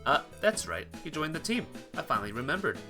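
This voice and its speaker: Expressive man reading a story aloud over music. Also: on the high side, a little fast. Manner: stumbles over words and corrects himself. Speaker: let's player reading a visual novel aloud. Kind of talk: narrated read-aloud of game text, acting out dialogue. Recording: music playing behind the voice.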